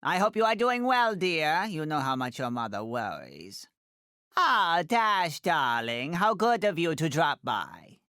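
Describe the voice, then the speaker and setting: Game role: haughty little middle-aged woman designer, theatrical, wildly animated pitch, sharp bright tone. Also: measured pace, smooth, no room sound, clean studio sound, full character voice. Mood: gracious, doting. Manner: haughty, dramatic, clipped delivery with affectionate condescension. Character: tiny, imperious, theatrical middle-aged female fashion designer for superheroes. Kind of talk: video game character voice lines.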